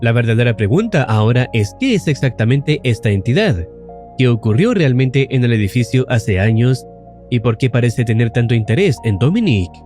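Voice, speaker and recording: A man's voice: medium pitch, big pitch swings, moderate pace, a little mellow. A man narrating a scripted, suspenseful recap of a horror series as an explainer video host. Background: faint music.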